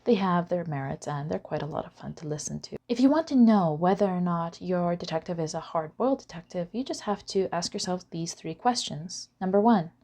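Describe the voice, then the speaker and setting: A woman's voice: lowish voice, dark tone. A woman presenting an informal explainer lecture, chatty and given to wry asides. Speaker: presenter of an educational video series.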